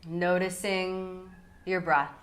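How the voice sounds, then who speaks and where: A woman leading a guided yoga nidra meditation in a calm, soothing, unhurried way with long pauses. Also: on the low side.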